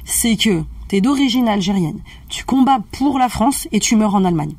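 A woman's voice: medium pitch, moderate pace, no room sound, far from the mic. Chatty woman explaining transgenerational healing. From family of Algerian roots. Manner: animated, checks in often with the listener. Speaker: holistic family-constellation practitioner. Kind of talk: casual conversational explaining.

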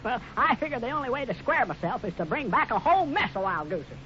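A man's voice: extremely high pitch, very animated, fast, pushed and bright, exaggerated voice. A man playing a skinny, dim-witted, prankish ranch hand with a folksy, boastful Western American drawl in a 1950s radio comedy. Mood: earnest, clueless. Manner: folksy, boastful, gleeful, gullible. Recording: noisy, muffled old radio recording.

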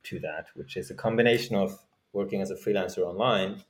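A man from Norway speaking casually as an interview guest, halting as he thinks aloud. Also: deep voice. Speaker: guest, startup founder.

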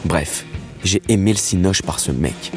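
A man, narrating his own story from a script in a wry, slangy way with dramatic pauses. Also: low voice, music in the background. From Paris, France. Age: 30s. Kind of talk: scripted first-person narration.

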